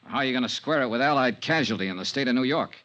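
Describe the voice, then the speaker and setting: An actor playing a tough, wry insurance investigator in a 1950s radio drama, clipped and sardonic. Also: male voice, medium pitch, racing, fairly bright tone, lightly acted. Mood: hard, sardonic. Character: tough, wry male insurance investigator, cool under threat. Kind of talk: 1950s radio detective drama acting with first-person narration. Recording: muffled 1950s radio recording, music.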